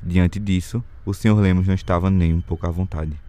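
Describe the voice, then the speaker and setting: Deep, mellow Brazilian male narrator. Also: fairly even intonation, a little fast. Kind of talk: scripted literary narration.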